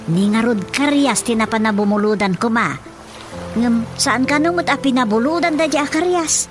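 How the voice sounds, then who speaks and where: A woman's voice: fairly high pitch, normal speed, lightly acted. A woman acting a fretful, complaining village woman with an Ilocano accent in a radio drama comedy. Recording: music bed behind the voice.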